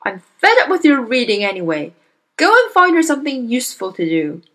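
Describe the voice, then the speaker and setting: A woman's voice: a little high, wildly swooping pitch, extremely slow, darkish tone, voice pushed up, heavily acted. A woman voicing a bad-tempered bullying father who barks and sneers. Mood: scornful. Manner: snapping, barking, sneering.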